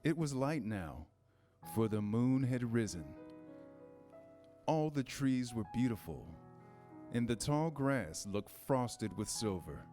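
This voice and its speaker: A man's voice: mid-range voice, very animated, takes it slowly, warm tone, soft background music. A man reading scripted storybook narration in a staged reader's theater style.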